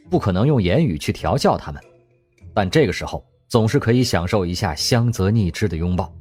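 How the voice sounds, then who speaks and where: Male narrator for an audio drama: low, husky, animated delivery. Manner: expressive storytelling, husky voice.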